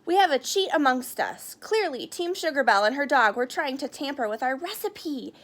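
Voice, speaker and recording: Woman as a haughty, scheming storybook villain queen, high-pitched. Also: animated, normal speed, fairly bright tone. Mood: accusing. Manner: hissing, accusatory, dismissive.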